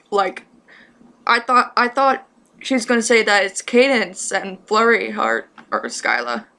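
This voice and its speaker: A woman's voice: on the high side, sharp tone. A woman giving casual, unscripted reaction commentary on a story she is listening to.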